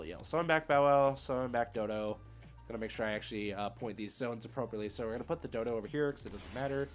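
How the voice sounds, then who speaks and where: Casting: male game streamer, mid-range voice, casual play-by-play, thinks out loud, music underneath. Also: mid-range voice. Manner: thinking aloud, informal gamer slang. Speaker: streamer hosting card game matches. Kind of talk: casual live gameplay commentary.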